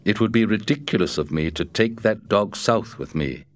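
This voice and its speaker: Man reading a tired, firm master; low and plain, clipped replies. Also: normal speed, dry recording, natural voice. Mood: exasperated. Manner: sober, curt. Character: weary, firm frontier master, grown man.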